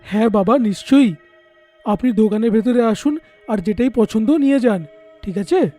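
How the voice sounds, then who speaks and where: Man as a very high-voiced, excitable villager. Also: normal speed, soft background music. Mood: friendly. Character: simple, excitable village idol-maker husband.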